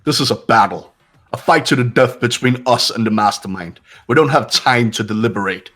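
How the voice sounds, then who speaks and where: A man's voice: mid-range voice, a little fast, fairly bright tone, faint music behind, close to an everyday voice. A man playing a stern, commanding young leader. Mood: resolute. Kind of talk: amateur voice acting of a video game.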